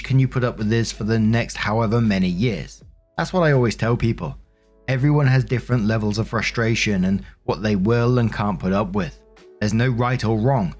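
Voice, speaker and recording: A man's voice: fairly low pitch, darkish tone. A man hosting a story show, reading posts aloud in a narrating style with casual commentary. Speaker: host narrating online stories.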